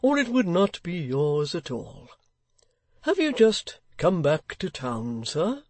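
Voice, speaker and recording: A man's voice: fairly high pitch, very animated, slow pace, dry recording, pitched above the performer's own voice, full character voice. A man reading aloud, voicing a kindly, plain-spoken middle-aged locksmith who talks earnestly and respectfully. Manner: earnest, respectful, gently persuasive. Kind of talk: audiobook character voice.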